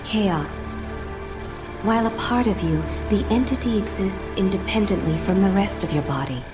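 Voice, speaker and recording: A woman's voice: medium pitch, normal speed. A woman playing a solemn, sorrowful female apparition in a video game, reciting with an echo on her voice. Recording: echoey voice over music.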